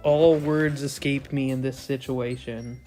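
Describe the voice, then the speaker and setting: Un homme jouant une présidente de club assurée. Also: male voice, medium pitch, fairly even intonation, measured pace, dark tone, faint music behind, close to an everyday voice. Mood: composed.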